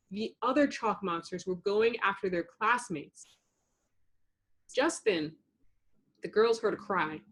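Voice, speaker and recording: A woman reading a children's storybook aloud in an expressive narrating style. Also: mid-range voice. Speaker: storybook reader.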